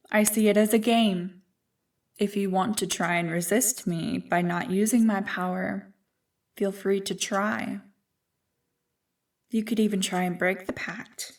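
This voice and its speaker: A woman voicing an ancient, evil primordial being in an ASMR roleplay, smug and tempting, with a deliberate, taunting delivery. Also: mid-range voice, steady tone, recorded from a distance. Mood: amused, taunting. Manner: slow, deliberate, taunting, coolly amused.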